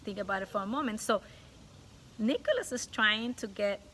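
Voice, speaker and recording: A woman teacher reading a storybook aloud to children, pausing to ask questions and explain things simply. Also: mid-range voice, expressive, sharp tone, slight background noise.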